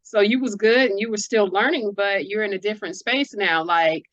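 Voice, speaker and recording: A woman's voice: mid-range voice, moderate pace, fairly bright tone, no room sound, highs cut off. A woman hosting a casual interview, talking in an encouraging, slangy, conversational way. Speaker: interviewer, host.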